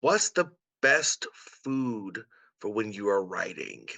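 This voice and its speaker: Bright-voiced, enthusiastic male co-host. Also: on the low side, big pitch swings, takes it slowly, vocal fry, dry recording. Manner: enthusiastic, welcoming. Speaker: co-host, artistic producer. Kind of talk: upbeat event hosting, introductions and questions. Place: indoors on a video call.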